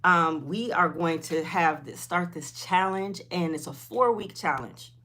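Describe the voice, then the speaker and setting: A woman's voice: lowish voice, a little slow, fairly bright tone. A woman, chatting informally on a livestream and replying to viewers, often repeating herself. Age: 50s. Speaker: host of a weight loss livestream.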